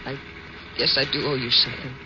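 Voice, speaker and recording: A woman's voice: very deep voice, level tone, a little fast. An actress playing a desperate, guarded young woman in a 1950s radio drama, with an anguished, pleading manner. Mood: subdued. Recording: muffled, noisy vintage radio recording.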